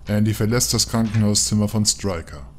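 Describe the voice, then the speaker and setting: A man's voice: fairly low pitch, a little fast, a little mellow. A man narrating a scripted audio drama as its storyteller.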